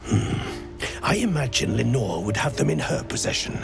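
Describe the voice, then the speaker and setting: A man playing an erudite, inquisitive adventurer in a video game, articulate, expressive and musing. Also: medium pitch, normal speed, vocal fry, music in the background. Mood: pondering. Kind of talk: video game dialogue acting.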